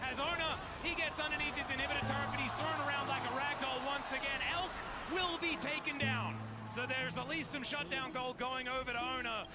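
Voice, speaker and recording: A man's voice: very high voice, steady tone, a little fast, loud music behind the voice. A man calling a live esports match as the play-by-play commentator.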